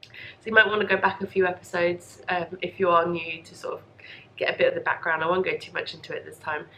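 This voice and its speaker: Slightly bunged-up woman podcaster, lower-pitched voice. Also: level tone, fast talker, a bit bright, slight background noise, poor audio. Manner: rambling, self-deprecating, apologetic. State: congested and coughy from a lingering cold. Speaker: podcast host. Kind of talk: casual chatty video podcast. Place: home craft room.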